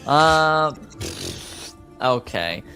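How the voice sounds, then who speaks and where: A man casually refereeing a tabletop game as game master, pausing to deliberate. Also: a little high, lively intonation, bright, forceful tone, soft background music.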